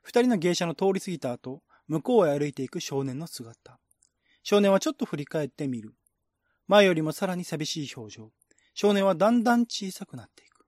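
A man reading a screenplay aloud as scripted narration in radio-drama style, with a measured, descriptive manner. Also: high voice, darkish tone, dry recording, studio quality. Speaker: narrator, reader.

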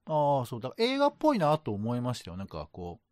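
Male co-host, medium pitch, dry sound, thinks aloud in relaxed casual chat with varied intonation. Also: quick pace, no room sound.

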